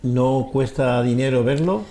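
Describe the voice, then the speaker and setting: Male tv host, warm mellow voice, short questions and lots of ujú. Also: medium pitch, a little slow. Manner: asks short questions, brief affirmations. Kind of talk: TV interview.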